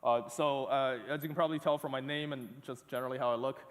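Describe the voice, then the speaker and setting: A man's voice: mid-range voice, steady tone. Man speaking in an echoing auditorium. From northeast China. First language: Chinese. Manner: halting, many uh fillers and restarts. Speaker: conference speaker. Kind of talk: conference talk with slides. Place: large auditorium. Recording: long hall reverb.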